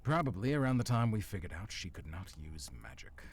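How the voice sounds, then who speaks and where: A man acting a courtly, formal male villain with measured, theatrical phrasing in an audio roleplay drama. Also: lowish voice, sing-song, breathy edge, heavily acted. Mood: wistful, reminiscing. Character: big bad male villain, courtly, smooth-talking, wistful.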